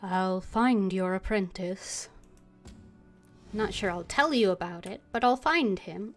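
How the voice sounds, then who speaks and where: A woman streaming a game, reading dialogue and notes aloud in character with measured expression. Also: medium pitch. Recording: faint music behind the voice.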